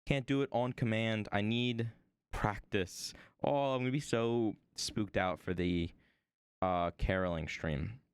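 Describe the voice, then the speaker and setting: A man streaming from home while he draws, chatting about his desk microphone in a rambling, self-deprecating way, his voice a little hoarse. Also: a little slow, no room sound, clean studio sound. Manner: rambling, self-deprecating, lively swings in pitch. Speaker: art streamer. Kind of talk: casual stream chat.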